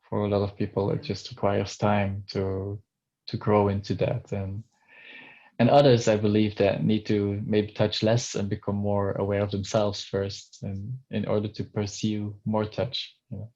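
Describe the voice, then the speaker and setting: A man's voice: fairly low pitch, flat delivery, unhurried, dark tone, dull audio. A Dutch man working as a coach, speaking English in a hesitant interview with many fillers and restarts. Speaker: touch and intimacy coach. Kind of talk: video call interview.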